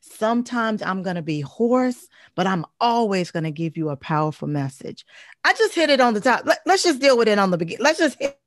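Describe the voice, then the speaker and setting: Deep-voiced, emphatic woman guest. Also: a little fast, no echo, clean studio sound. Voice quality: heavy, deep voice. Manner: emphatic, animated storytelling with repeated phrases. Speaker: guest speaker and life coach. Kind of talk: casual interview conversation.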